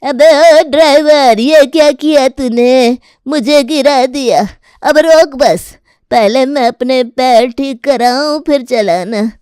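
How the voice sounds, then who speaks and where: A bossy old mother-in-law from an animated story, acted by a woman. Her voice is smooth and fairly high, recorded dry and close. She nags, scolds and drags out her demands. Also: slow pace, no echo. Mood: outraged.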